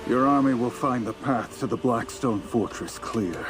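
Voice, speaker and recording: A man's voice: mid-range voice, moderate pace, natural voice. An actor playing a defiant male knight who has turned from his old allegiance, in a video game cutscene. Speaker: knight warden. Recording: game cutscene over clear music.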